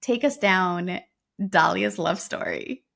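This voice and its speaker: A woman's voice: fairly low pitch, slow pace, vocal fry. Affirming woman podcast host. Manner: warm affirming interviewer, frequent agreeing "yeah". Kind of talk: casual interview.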